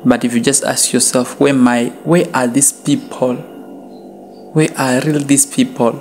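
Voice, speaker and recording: A man's voice: mid-range voice, fairly even intonation, measured pace, a little mellow, a little hiss or hum. A young man from Namibia in his twenties, chatting casually into a handheld phone camera while walking through a shopping mall and car park. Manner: chatty, repeats "like" and "guys", chuckles often. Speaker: vlogger interviewing people. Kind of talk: casual street-interview vlog. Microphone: handheld phone camera at arm's length.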